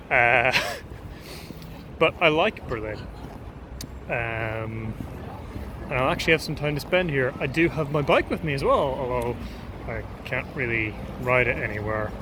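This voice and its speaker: A man with an Irish accent rambling hesitantly through a casual travel vlog outdoors on a city street. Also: mid-range voice. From Ireland. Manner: rambling, hesitant, many ums. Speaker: vlogger. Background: urban street noise.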